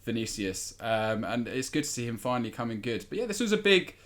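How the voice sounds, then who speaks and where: A man giving conversational football analysis as a podcast pundit, measured and articulate. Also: mid-range voice, sing-song, fairly bright tone.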